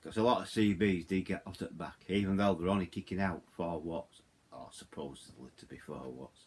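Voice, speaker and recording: A man's voice: low-pitched, fairly quick, a little hiss or hum. A middle-aged man with a Yorkshire accent giving a casual hands-on product walkthrough, rambling and repeating himself as he goes. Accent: Yorkshire English. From Yorkshire, England. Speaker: hobbyist radio reviewer.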